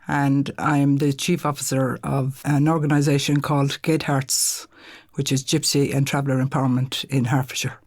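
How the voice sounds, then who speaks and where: Woman speaking in a measured way. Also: very deep voice, flat delivery, a little mellow. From Ireland. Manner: measured, with many "um"s. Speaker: guest, chief officer of a charity. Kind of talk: casual interview chat. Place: podcast studio.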